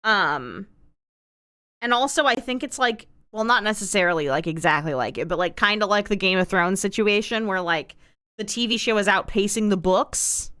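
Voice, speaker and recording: A woman livestreamer chats off the cuff with a wry delivery and a very lively, swooping pitch. She stretches and repeats words for exaggerated emphasis. The sound is dry, with very little room in it. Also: moderate pace, no room sound. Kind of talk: casual rambling chat to stream viewers.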